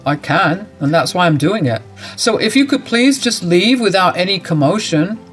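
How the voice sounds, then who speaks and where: A woman puts on a cold grown son who talks in a lower, flat, matter-of-fact way, brushing his mother aside without any warmth. Faint music plays softly underneath. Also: normal speed, close to an everyday voice. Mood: dismissive.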